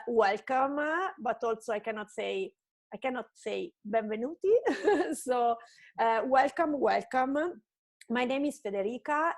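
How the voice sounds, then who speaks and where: A woman from Italy with an Italian accent, giving a presentation over a video call from her apartment, pausing often with filler sounds. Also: fairly high pitch, darkish tone. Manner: hesitant, many filler sounds.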